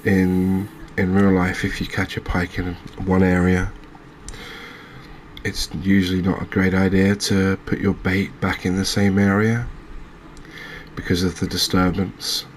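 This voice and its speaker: A soft-spoken man narrates a game in a relaxed ASMR style. His voice is deep and stays very even, with a hesitant, understated, musing delivery. A faint ambient noise sits behind him. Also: a little slow. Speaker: gaming video creator.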